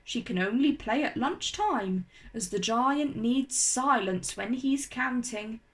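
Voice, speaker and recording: A woman voicing a brisk, reassuring female chicken with a chatty, clucking manner for a children's storybook. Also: on the high side, lively intonation, a little hiss or hum. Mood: chatty, explaining. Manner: clucking, cackling, matter-of-fact.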